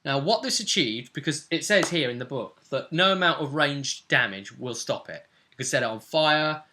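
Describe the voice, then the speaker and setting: A man with a bright, forceful voice hosts as game master. He recaps a tabletop session in a casual way. Key phrases get repeated for dramatic weight. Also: medium pitch, lively intonation, moderate pace, a little hiss or hum. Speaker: game master and vidcast host.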